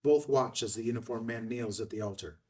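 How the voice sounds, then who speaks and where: A man's voice: medium pitch, a little fast, dark tone, recorded from a distance. A man reading scene headings and stage directions in a clipped, scripted narration at a table read.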